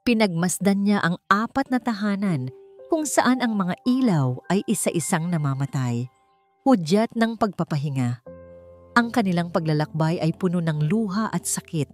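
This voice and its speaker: A woman reads a scripted romantic story. Her voice is low and mellow, and she phrases deliberately with dramatic pauses. Soft music plays faintly behind her. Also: expressive. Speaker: story narrator.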